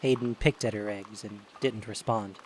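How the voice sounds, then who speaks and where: A man's voice: lowish voice, fairly even intonation, moderate pace, slight background noise. A man narrating a scripted audio drama as its storyteller.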